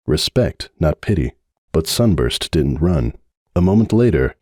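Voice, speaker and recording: A man giving a dramatic reading of a fan story, narrating in a measured, brooding way. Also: deep voice, darkish tone, no room sound, clean studio sound. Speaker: audiobook narrator.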